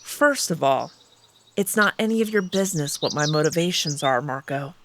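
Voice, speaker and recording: A woman's voice: low-pitched, moderate pace, background noise, lightly acted. A woman as a guarded royal she-wolf. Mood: curt, sincere. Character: guarded, cool royal she-wolf, aloof palace advisor.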